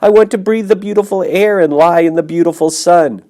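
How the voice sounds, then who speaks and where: A man voicing a terrified young male pig who sobs and screams in high wails. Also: big pitch swings, measured pace, mellow. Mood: panicked.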